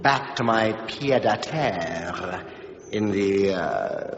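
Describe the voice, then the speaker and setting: A mischievous devil in a radio drama, smooth and flirtatious. His voice swings widely in pitch with slow, drawn-out phrasing. The recording is roomy with a long reverb and a dull, muffled tone. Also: expressive, a little hiss or hum, exaggerated voice. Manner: smooth, teasing, playful with sudden yelps. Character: sly scheming male devil, flirtatious and mischievous.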